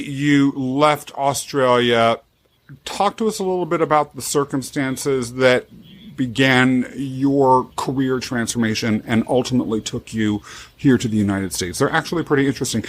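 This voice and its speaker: A man's voice: medium pitch, lively intonation, normal speed. A man hosting a podcast interview, asking questions in an enthusiastic, playful way. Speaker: podcast host.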